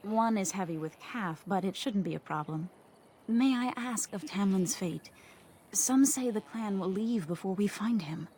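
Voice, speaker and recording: An actress playing a solemn elven herder in a video game, speaking in a measured, earnest, formal way. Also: female voice, mid-range voice, dark tone, slight background noise, natural voice. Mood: calm. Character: solemn elven woman tending the clan's sacred herd animals. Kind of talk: video game dialogue acting.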